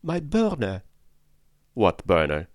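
A man reading an audiobook voices an excitable, voluble French manservant who talks fast and stubbornly. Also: on the low side, dry recording, clean studio sound, heavily acted. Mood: fretful.

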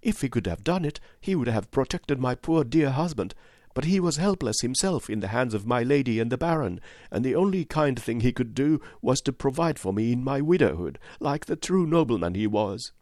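A man's voice: mid-range voice, expressive, brisk, a little mellow, no echo, clean studio sound, pitched above the performer's own voice, close to an everyday voice. A man reading an audiobook, voicing a nervous yet determined widow in an earnest, dignified manner. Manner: earnest, dignified, rising to indignation. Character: timid but determined widow, adult woman. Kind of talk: audiobook reading of a classic novel.

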